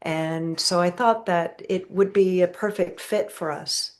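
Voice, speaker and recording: Female interview guest, slightly low voice, thoughtful, halting delivery in relaxed conversation. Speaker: guest, singer and performer. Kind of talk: casual interview answer.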